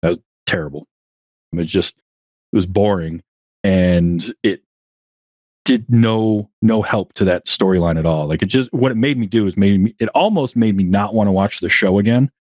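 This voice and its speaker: A man's voice: on the low side, moderate pace, darkish tone, no echo, studio quality. A man as a podcast guest in casual conversation, rambling a little and restarting his sentences as he thinks. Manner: rambling, restarts often, chuckles at himself. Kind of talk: casual interview chat.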